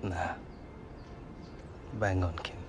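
An actor playing an earnest, driven young footballer in a video game, with a British accent and casual delivery. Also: male voice, sits low, fairly even intonation, very slow, background noise. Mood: wry, warming. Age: young adult. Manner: casual, informal.

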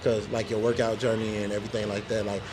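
A man's voice: fairly low pitch, level tone, quick pace, noisy background. A man hosting a vlog, chatting casually in a laid-back, joking, slangy way. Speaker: vlog host. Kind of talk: casual chat.

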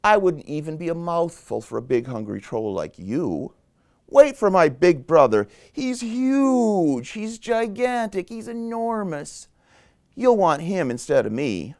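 A man's voice: on the high side, very animated, unhurried, a little mellow, voice pushed up. A man voicing a medium-sized billy goat, a storybook brother who pleads and coaxes with emphatic words. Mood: coaxing, pleading.

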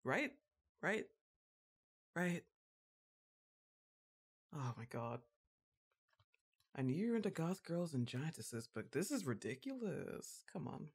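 A woman playing a goth giantess witch girlfriend, exasperated and fondly bossy, with a drawn-out teasing delivery in an intimate ASMR roleplay. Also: low voice, sing-song, breathy edge, full character voice. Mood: exasperated, worried. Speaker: audio roleplay performer.

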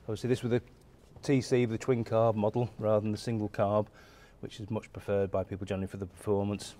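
A middle-aged man giving a relaxed, unscripted walkaround of a classic car for an auction, talking with lots of fillers and restarts in a large indoor warehouse. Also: on the low side, quick pace.

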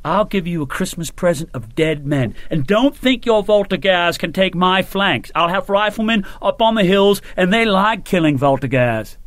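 A man's voice: a little high, lively intonation, moderate pace, hardly any pauses, no echo, voice pushed up, natural voice. A man playing a fierce, swearing army officer. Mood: furious. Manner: gruff, swearing, bullying. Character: fierce brash male British army officer.

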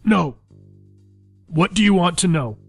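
A man acts out a frightened small-town sheriff and father, middle-aged and desperate. The voice is gravelly and husky, swinging up and down as he pleads, with music playing behind it in a radio play. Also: high voice, animated, a little slow, a bit bright, no room sound, exaggerated voice. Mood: wary, anxious. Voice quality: hoarse, strained.

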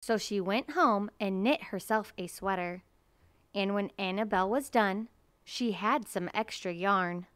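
A woman reading a children's picture book aloud in a measured, deliberate storytelling manner. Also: medium pitch, fairly bright tone, clear, resonant tone, dry recording, clean studio sound. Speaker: storybook reader.

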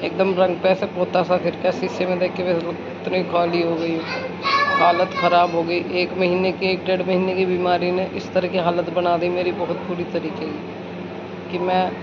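Flat-toned village woman vlogger. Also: lowish voice. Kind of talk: casual home vlog chat and narration. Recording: muffled phone audio with clear background noise.

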